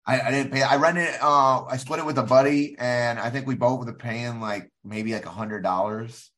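A man's voice: mid-range voice, fairly even intonation, normal speed, a bit bright. A man chatting casually as a podcast guest, agreeable and informal in his talk. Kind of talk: casual chat.

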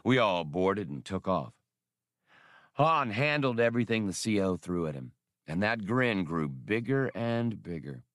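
A man's voice: on the low side, very animated, unhurried, fairly bright tone, no room sound, studio quality. A man voicing a seasoned, easygoing ex-officer in a space adventure audiobook, spinning yarns with wry mildness. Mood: wry, reminiscing. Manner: wry, mild storytelling. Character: seasoned, easygoing male ex-officer telling old war stories.

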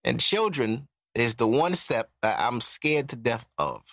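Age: 30s. Sex: man. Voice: gravelly fry. Style: candid podcast chat. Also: medium pitch, big pitch swings, a little slow, a bit bright, dry recording, studio quality. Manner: candid, joking, reflective. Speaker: podcast co-host. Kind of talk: casual chat.

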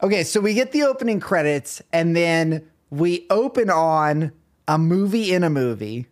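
A man's voice: fairly high pitch, lively intonation, normal speed. A man co-hosting a casual podcast, riffing in a playful, joking way. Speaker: podcast co-host. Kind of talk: casual chat.

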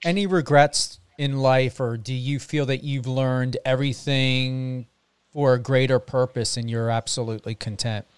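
A man hosting an interview, asking encouraging, unhurried questions. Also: mid-range voice, steady tone, clean tone, dry recording. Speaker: interview host. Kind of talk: casual interview chat.

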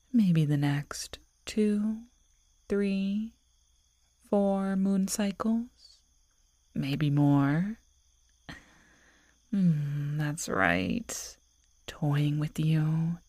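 Casting: actress as female werewolf lover for ASMR roleplay, very slow and breathy, possessive, teasing menace, whispering close up. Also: sits low, dark tone, no room sound, heavily acted. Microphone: close microphone, dry.

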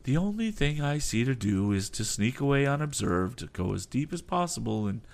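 A man's voice: mid-range voice, big pitch swings, normal speed, vocal fry, darkish tone, voice pushed up. A man voicing a firm, grimly warning sea captain in a storybook reading. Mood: advising. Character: gruff male sea captain, quietly threatening.

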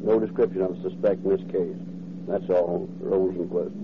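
A man's voice: sits low, normal speed, vocal fry. An American man reading a clipped police bulletin over the radio as a 1930s dispatcher. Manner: monotone, clipped. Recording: tinny, very noisy old police radio.

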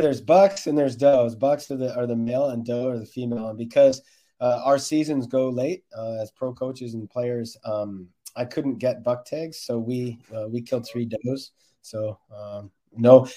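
A man's voice: medium pitch, normal speed, dark tone. A man who coaches soccer, answering interview questions in a conversational, explanatory way.

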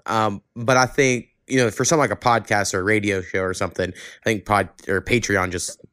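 A man's voice: fairly low pitch, fairly even intonation, brisk, fairly bright tone, clean tone, no room sound, studio quality. A man hosting a podcast, talking in a casual, conversational way. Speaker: podcast host. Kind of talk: casual chat.

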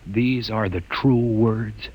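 Impetuous, defiant young radio-drama hero. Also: male voice, fairly low pitch, slow, loud music behind the voice. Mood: probing. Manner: bold, challenging, intense. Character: impetuous, defiant young man questioning his faith. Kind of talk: old-time radio drama acting. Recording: noisy old radio recording, echoing hall.

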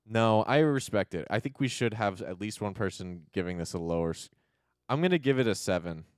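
A man in his thirties with a fairly low voice trades casual, joking banter as a podcast co-host. His pitch moves in a lively way, and he sounds close and dry. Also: fairly quick, no room sound. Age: 30s.

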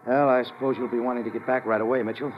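A man's voice: medium pitch, flat delivery, rapid-fire, a little hiss or hum, close to an everyday voice. An American man acting an affable legation official with breezy, colloquial speech in a 1950s radio drama. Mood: genial, teasing. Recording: muffled old radio broadcast, faint music.

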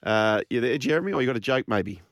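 An Australian man hosts a talk radio show at an even mid pitch. He moves briskly between callers and teases them as he goes. The studio sound is dry and close. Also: no room sound. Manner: brisk, teasing. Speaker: radio host.

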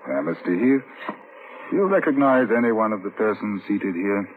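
A man's voice: lowish voice, lively intonation, phone-quality sound, close to an everyday voice. Composed male inspector played by an actor, vintage radio drama; methodical, formal, probing; thin band-limited recording with faint hiss. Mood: firm, accusing. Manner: measured, formal, politely probing. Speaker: detective and narrator. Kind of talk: old-time radio drama acting.

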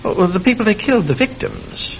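A man's voice: lively intonation, brisk. An actor playing an eager, inquisitive young man, an amateur sleuth, probing hesitantly in a classic radio drama. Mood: tentative, keen. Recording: dull, rumbling, noisy old radio recording.

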